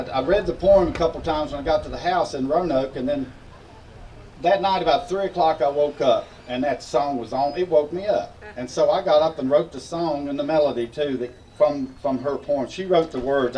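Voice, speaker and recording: Older man, Appalachian Virginia accent, fairly high voice, folksy musician-storyteller, recorded at a distance. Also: normal speed, dark tone, a little hiss or hum. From Virginia, United States. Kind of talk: informal storytelling and folk singing to an audience. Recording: distant microphone, acoustic guitar behind. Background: acoustic guitar.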